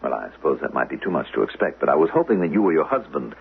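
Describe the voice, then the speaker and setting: An actor playing a smooth-talking, probing official of a dystopian future in a 1970s radio drama, formal and measured with pointed questions. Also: male voice, a bass voice, sing-song, exaggerated voice. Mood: politely insistent. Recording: muffled old radio broadcast.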